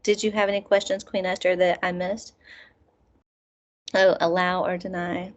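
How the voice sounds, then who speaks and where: A woman, a music teacher hosting a workshop on a video call, telling stories in an animated way. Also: on the low side, moderate pace. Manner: animated storyteller, laughs easily. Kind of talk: casual video call conversation. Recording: dull lo-fi video call audio.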